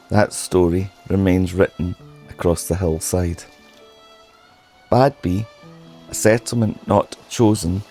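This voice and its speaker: A man with a sore, hoarse throat narrating a history documentary in a solemn, measured way. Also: low voice, dark tone, low-quality recording. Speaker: history channel host.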